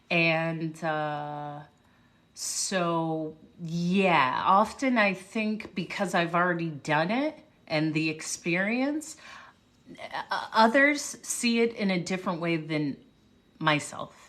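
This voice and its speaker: A woman speaker chatting casually with viewers on a livestream, reflective and unhurried, pausing and restarting often. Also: on the low side, a bit bright. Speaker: livestream host, motivational speaker. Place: home livestream. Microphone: phone or webcam mic.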